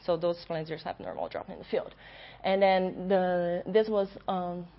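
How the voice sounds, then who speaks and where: A young adult woman, a graduate student, giving an academic conference presentation in a loud voice in a lecture room. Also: lowish voice, fairly even intonation, measured pace. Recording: dull lo-fi recording.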